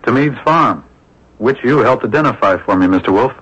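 A husky, mid-pitched male schemer, formal and rueful as he explains himself politely. The radio drama sound is dull and lo-fi. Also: expressive, moderate pace, fairly bright tone. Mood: wry.

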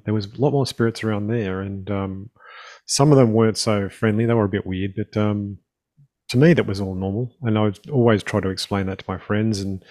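A man's voice: lowish voice, a little mellow. A man interviewer speaking in a casual, reflective way, with many hesitations and restarts.

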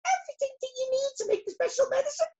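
A woman's voice: very high-pitched, animated, rapid-fire, dark tone, dull audio, pitched above the performer's own voice. A woman voicing an eager, proud female bee laboratory helper in a storybook, earnest and keen.

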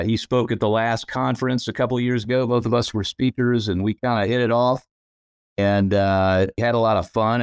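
A man's voice: mid-range voice, no echo. A man co-hosting a podcast, chatty and rambling, with a self-deprecating manner. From United States. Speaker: podcast co-host. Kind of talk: casual interview chat.

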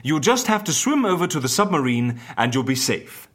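A man playing a genial, eccentric secret-agency interviewer in an audio drama comedy, polite and theatrical as he pitches his services. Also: sing-song, normal speed, fairly bright tone. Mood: reassuring, eager. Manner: theatrical, polite, hesitant then exclaiming.

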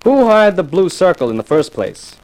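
An actor playing a cool, cocky hard-boiled sleuth in a 1950s radio crime drama, wry and taunting. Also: male voice, high-pitched, big pitch swings, normal speed, darkish tone. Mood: cool, confident. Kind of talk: 1950s radio drama acting and first-person narration. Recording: noisy old 1950s radio recording.

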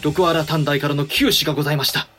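An actor playing a cautious, deferential samurai-era regent in a period audio drama, speaking formally and respectfully. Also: male voice, on the high side, steady tone, fast talker, fairly bright tone, soft background music. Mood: grave, reporting. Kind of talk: period radio drama acting in archaic speech.

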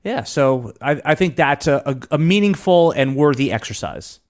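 A man's voice: mid-range voice, lively intonation, moderate pace. A man hosting a casual fantasy sports podcast, rambling and restarting his sentences often. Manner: rambling, with many restarts and filler words. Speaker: podcast host.

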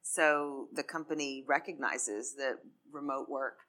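A woman's voice: extremely low pitch, unhurried, a bit bright. A woman who leads a marketing team, speaking earnestly on a live podcast panel and often restarting her words.

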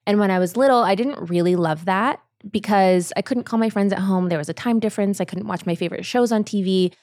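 A woman's voice: medium pitch, fast talker, darkish tone, dry recording, studio quality. A woman in her thirties from Ohio, telling her story as a guest in a casual interview. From Ohio, USA. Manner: chatty, upbeat storytelling. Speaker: guest, brand founder.

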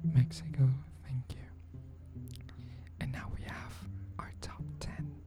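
A woman hosting a podcast, thanking her listeners in a soft, often near-whispered, unhurried voice. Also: rumbling low, flat delivery. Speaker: podcast host. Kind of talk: thank-you message to listeners. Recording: cello music playing under the voice.

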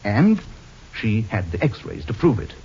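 An actor playing a suave, unflappable amateur sleuth with dry wit in an old-time radio detective drama, wry and hesitating deliberately. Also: male voice, fairly low pitch, sing-song, darkish tone, breathy edge, heavily acted. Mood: explaining, assured. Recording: dull, muffled old radio recording.

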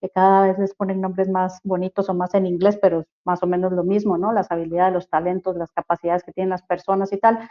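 A woman from Costa Rica with a Costa Rican accent, speaking as an interview guest on human rights, thoughtful and halting, with many fillers and restarts. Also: fairly low pitch, level tone. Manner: reflective, many fillers and restarts, searching for words. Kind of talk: casual interview talk. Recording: dull lo-fi audio.

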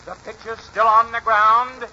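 A man playing an excitable baseball announcer on a 1940s radio drama, calling the play with dramatic, drawn-out calls. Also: very high-pitched, lo-fi sound, full character voice. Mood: excited commentary. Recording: noisy, band-limited 1940s radio recording.

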